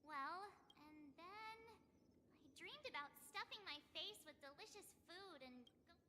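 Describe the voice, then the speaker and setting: A woman voicing a small, excitable companion in a video game, chatty and dramatic. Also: extremely high pitch, measured pace, in a large hall, a little hiss or hum, heavily acted. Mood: upbeat.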